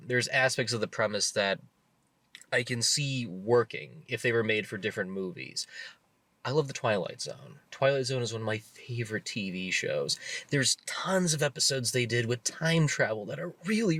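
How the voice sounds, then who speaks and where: A man co-hosting a casual film review podcast, his voice bright and forward. He rambles eagerly from point to point, full of fillers and restarts. The sound is close and dry, with no room around it. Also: fairly high pitch, dry recording. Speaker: movie review co-host. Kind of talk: casual movie review chat.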